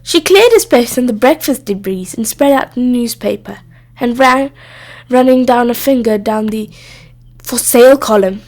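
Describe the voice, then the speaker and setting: A woman with a high voice narrates a children's storybook. She reads from the text in an expressive storytelling style. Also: moderate pace. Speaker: storybook reader.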